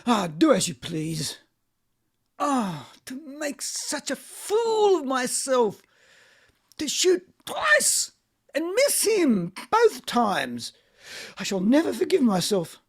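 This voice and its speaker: Woman acting anguished middle-aged guy in a stage play reading, slow n creaky, high voice swinging all over. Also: sing-song, heavily acted. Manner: anguished, theatrical, bitterly laughing. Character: despairing, self-loathing middle-aged man in rural Russia.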